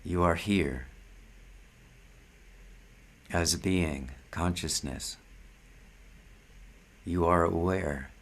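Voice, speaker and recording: Very slow, deep-voiced male spiritual teacher. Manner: measured, deliberate, short phrases with long pauses. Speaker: spiritual teacher leading satsang. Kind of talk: slow meditative spiritual talk.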